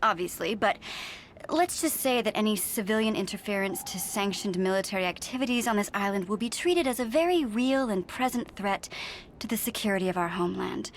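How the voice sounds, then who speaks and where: Game government agent, female actor: American, falsely chipper, condescending. Mid-pitched, faint ambient noise. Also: close to an everyday voice. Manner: brisk, insincere, condescending politeness. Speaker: Homeland Security agent. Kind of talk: video game cutscene acting.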